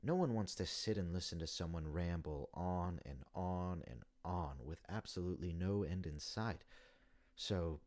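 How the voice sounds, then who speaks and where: A man voicing an elderly, melancholy hippopotamus from a video game, stammering and rambling on slowly and wistfully. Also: low voice, darkish tone. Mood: musing, rambling. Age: older. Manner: hesitant, stammering, long-winded rambling. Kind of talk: video game character monologue.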